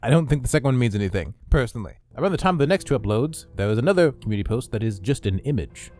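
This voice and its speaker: A man of average pitch narrates a scripted explainer as co-host. He moves through his commentary at a rapid clip. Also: expressive, music in the background. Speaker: video co-host. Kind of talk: scripted video-essay commentary.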